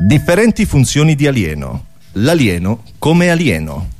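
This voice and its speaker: A man announcing comedy sketch titles on radio in a deadpan, scripted style. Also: medium pitch, moderate pace, faint music behind.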